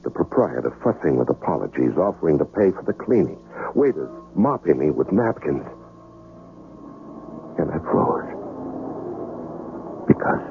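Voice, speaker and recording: An actor playing a troubled, wary hired killer in a 1970s radio drama, with a brooding, dramatic delivery. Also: male voice, rumbling low, creaky, heavily acted. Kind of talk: 1970s radio drama acting and first-person narration. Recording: muffled old radio broadcast with music.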